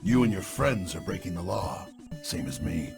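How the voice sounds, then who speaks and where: A grim ageing vigilante soldier in a video game. His low voice grates with gravel. He speaks tersely and sternly over faint music. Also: animated, normal speed. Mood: curt, defiant. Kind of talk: video game character acting.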